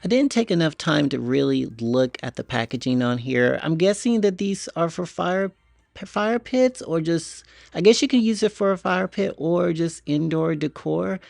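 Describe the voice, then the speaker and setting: A man's voice: fairly high pitch, animated, moderate pace, creaky, darkish tone, no room sound, clean studio sound. A man hosting a casual shopping vlog, talking through what he sees as he goes. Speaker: vlog host. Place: inside a retail store.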